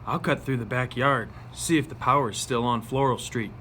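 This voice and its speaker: A man's voice: mid-range voice, moderate pace, sharp tone, slight background noise, lightly acted. A man playing a folksy, skeptical small-town neighbor in an amateur read-aloud of a television script. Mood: puzzled, doubtful.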